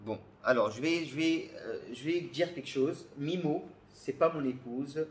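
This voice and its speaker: A man's voice: fairly high pitch, a little slow, slight background noise. A man from Côte d'Ivoire answers questions in a casual vlog, often searching for words and repeating phrases. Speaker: comedy video creator.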